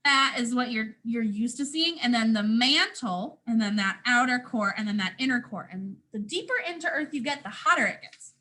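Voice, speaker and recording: A woman's voice: fairly high pitch, animated, normal speed, hardly any pauses, sharp tone. A woman with a North American accent reads a picture book aloud to young children as a teacher, expressively, with simple explanations along the way. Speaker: first grade teacher.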